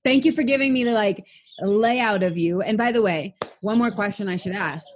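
A woman in her thirties hosting a casual interview, chatty and upbeat, firing off quick follow-up questions. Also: mid-range voice, slight background noise. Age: 30s.

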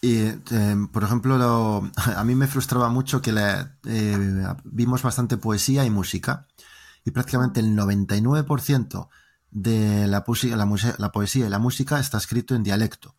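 A man from Spain with a Spanish accent, speaking as an interview guest in a relaxed conversation and telling lively, joking stories in long winding turns. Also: on the low side, moderate pace.

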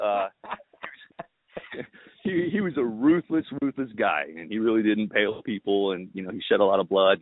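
A man in his thirties, Romanian-born and raised in California, answering interview questions in a relaxed, conversational way. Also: mid-range voice, no room sound, narrow, tinny audio. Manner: relaxed, conversational, many fillers. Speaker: guest, business owner.

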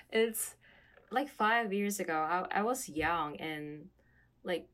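A woman's voice: on the low side, fairly bright tone. A young woman from South Korea, a native Korean speaker, talking casually and reflectively while searching for her words. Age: young adult. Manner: halting, searching for words, frequent "like". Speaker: conversation partner.

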